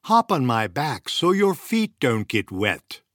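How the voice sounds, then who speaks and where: A man voicing a sly male fox who coaxes in a smooth, honeyed way. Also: medium pitch, very animated, takes it slowly, fairly bright tone, dry recording, clean studio sound, exaggerated voice. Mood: coaxing. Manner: coaxing, honeyed.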